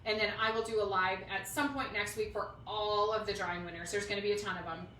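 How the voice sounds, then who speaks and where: Bright, chatty Minnesotan woman in a roomy space. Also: mid-range voice, steady tone, fast talker. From Minnesota, United States. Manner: chatty, rambling, informal. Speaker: craft livestream host. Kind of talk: casual chat to a livestream audience. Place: indoors at home, roomy space. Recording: roomy, distant mic, faint noise.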